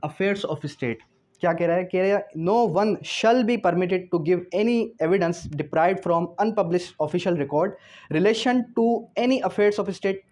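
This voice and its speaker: A man's voice: sits high, darkish tone. A man with a Pakistani accent giving an explanatory law lecture, mixing in English and asking questions that he then answers himself. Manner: didactic, asks and answers own questions, slows to read out clauses.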